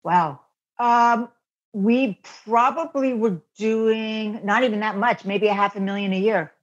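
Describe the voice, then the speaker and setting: A woman guest telling her business story in a candid, halting way. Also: mid-range voice. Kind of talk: casual interview. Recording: dull lo-fi audio.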